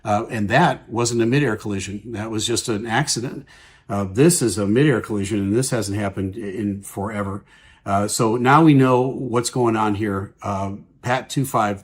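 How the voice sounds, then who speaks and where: A man's voice: fairly low pitch, steady tone, moderate pace, slightly rough. A man giving explanatory commentary on air traffic audio in a plain-spoken, everyday way. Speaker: aviation commentator.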